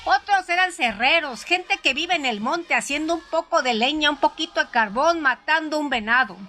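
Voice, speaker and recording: A woman reading a first-person story aloud in a measured, expressive way. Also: sits high, faint music behind. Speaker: narrator. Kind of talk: scripted audiobook narration.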